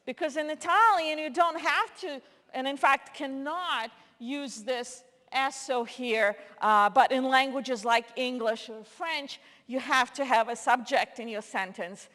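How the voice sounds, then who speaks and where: A woman's voice: high voice, measured pace, fairly bright tone. A woman who speaks Russian natively, giving a conference lecture in a hall with many fillers and restarts. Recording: long hall reverb.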